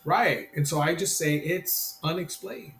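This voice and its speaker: A man's voice: fairly high pitch, a little slow, vocal fry, a bit bright. A man in his fifties, a podcast guest chatting casually in a rambling, self-interrupting, friendly way. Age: 50s. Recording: roomy sound with faint ambient noise.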